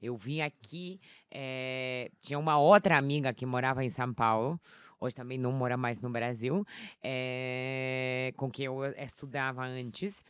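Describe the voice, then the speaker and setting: A woman's voice: extremely low pitch, steady tone, measured pace, a bit bright, smooth, no room sound, studio quality. Chatty woman telling her story. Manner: chatty, many fillers, acts out quotes with laughter. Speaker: guest.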